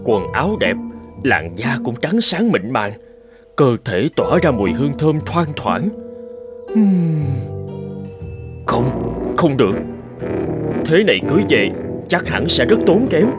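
A stingy old rich man from a cartoon folk tale, acted by a man, fussy and calculating. His pitch swings widely as he grumbles and exclaims, and music plays behind him. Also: big pitch swings, measured pace, pauses often. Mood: appraising. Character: stingy, fussy old rich male master seeking a thrifty wife. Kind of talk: animated folk-tale dubbing.

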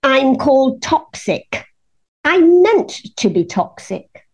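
A woman's voice: high-pitched, sing-song, takes it slowly, a little mellow, full character voice. A woman playing a cold, gloating villainous queen in a radio pantomime, haughty and menacing. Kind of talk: local radio pantomime acting.

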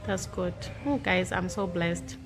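A woman's voice: lowish voice, measured pace, noisy background. Chatty mother vlogging on her phone. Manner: chatty, teasing, often laughing, addresses viewers as guys. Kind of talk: casual vlog chat to camera. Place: home bedroom, indoors. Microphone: phone at arm's length.

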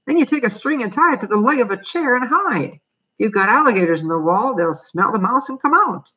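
A narrator voicing a small male exterminator, a deadpan joker with a folksy, confident manner, in an audiobook. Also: mid-range voice, a little fast, slightly rough, pitched above the performer's own voice, low-quality recording.

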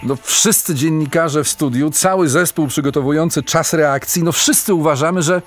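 A polite male radio host played by an actor talks in a hesitant, conversational way in a radio play. His voice sits a little high, with faint music behind. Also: moderate pace, close to an everyday voice. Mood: amazed, grateful. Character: polite, amazed radio call-in show host. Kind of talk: radio play as live phone-in.

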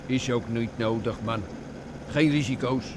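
Vintage radio drama: slangy petty crook played by a man, middle pitch, noisy car recording. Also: a little slow, lightly acted. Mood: gruff, chatty. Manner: colloquial underworld slang. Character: nervy petty car-thief accomplice, slangy adult man. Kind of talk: vintage Dutch radio play acting.

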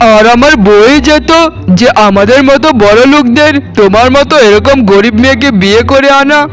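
A man's voice: high-pitched, a bit bright, low-quality recording. A man playing a callous, overbearing young husband in a cartoon, stern and threatening. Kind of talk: cartoon voice acting.

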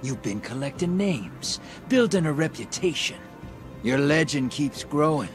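Sly cocky smuggler gunslinger, drawly teasing game voice, bouncy pitch, music under it. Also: male voice, expressive, measured pace. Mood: wry. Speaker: game character. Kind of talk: video game cutscene dialogue.

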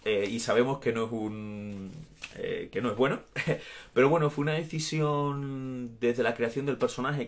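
A man in his thirties with an Andalusian accent, chatting casually on a live stream from a small room at home, reading out viewer comments and laughing often. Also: mid-range voice. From Andalusia, Spain. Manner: warm, chatty, laughs often, gestures while talking. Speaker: actor answering fans in a live stream. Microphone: phone or webcam at arm's length.

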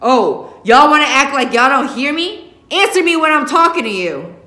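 A woman voicing an angry father scolding his teenage kids in a forceful, commanding way, in an audiobook-style story reading. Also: sits high, very animated, normal speed, pitched above the performer's own voice, heavily acted. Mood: furious. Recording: echoey classroom.

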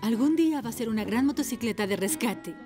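Over clear background music, a woman plays a supportive motorcycle mother in a children's cartoon. Her voice is fairly high and composed, with an encouraging way of talking. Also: lively intonation, fast talker, lightly acted. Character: supportive adult female rescue motorcycle, a mother. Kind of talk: Spanish-language cartoon dub acting.

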